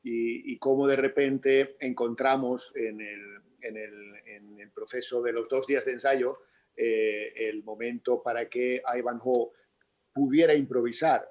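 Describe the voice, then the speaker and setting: A man's voice: medium pitch, fairly even intonation, normal speed, a little mellow. A man with a Spanish accent, a theatre director speaking off the cuff in a Q&A, repeating words as he explains. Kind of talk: unscripted Q&A discussion.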